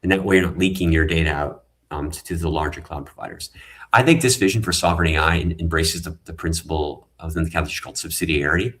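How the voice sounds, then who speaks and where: Very deep-voiced man explaining earnestly. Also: fast, a bit bright. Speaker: guest, tech founder. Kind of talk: online panel conversation. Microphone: video call.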